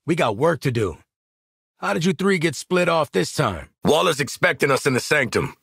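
A gruff American hired gun from an action game, a man who is cocky and tired of it all. His wisecracks are streetwise and blunt. The pitch moves around a great deal, and the tone is bright and hard-edged. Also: big pitch swings, normal speed, no echo, studio quality, full character voice. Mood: dry, confident. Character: cocky, gruff mercenary sharpshooter, adult man, world-weary. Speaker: voice actor. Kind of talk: video game voice lines.

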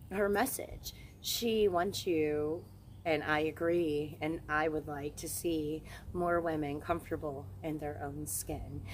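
A woman's voice: low-pitched, a little hiss or hum. A woman in her forties talking frankly and casually to a handheld phone camera outdoors in the woods. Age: 40s. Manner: frank, relaxed, chatty. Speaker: witchcraft channel host. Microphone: handheld phone camera at arm's length.

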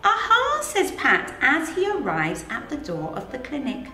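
Animated middle-aged woman reading a picture book. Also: high-pitched, a little slow. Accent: English. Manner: animated, sing-song, asks children questions. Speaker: head of early years, reading aloud to children. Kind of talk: children's storybook read-aloud. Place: in front of a green screen with book pages. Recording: echoey room, faint music behind.

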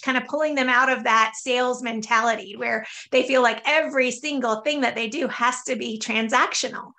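A woman in her forties with a high, scratchy voice hosts a conversation, candid and lively. Her tone is bright and edged, carried over a webcam on a video call. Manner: lively, candid, laughs easily. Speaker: podcast host. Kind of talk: conversational interview.

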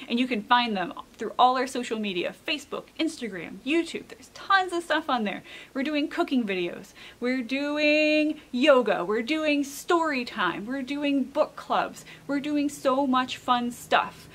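A woman's voice: a little high, a bit bright. A woman in her thirties presenting a library tour as a children's librarian, enthusiastic and emphatic. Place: indoors in a public library.

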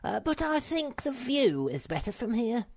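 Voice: medium pitch, very animated, normal speed, dry recording, clean studio sound, voice pushed up. A storybook narrator voicing a small, timid but self-important young male creature, earnest, plaintive and solemn. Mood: defensive.

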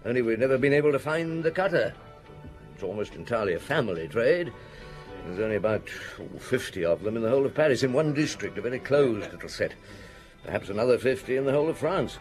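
An actor playing a shrewd, mature police chief inspector in a radio drama, musing and measured, with thoughtful hums. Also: male voice, medium pitch, expressive, darkish tone, soft background music, natural voice. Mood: explaining.

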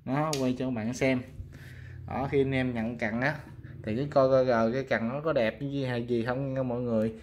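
A man's voice: medium pitch, steady tone, fairly quick, slight background noise. A man with a Southern Vietnamese accent casually showing goods for sale, talking informally to viewers as friends. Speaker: fishing rod seller presenting products. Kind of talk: casual product showcase.

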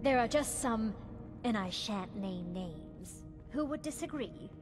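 An actress playing an insecure, prickly mage scholar in a fantasy game, talking in a prim, fussy, formal manner. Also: female voice, on the high side, expressive, slow pace. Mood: anxious, defensive. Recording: long hall reverb, music behind.

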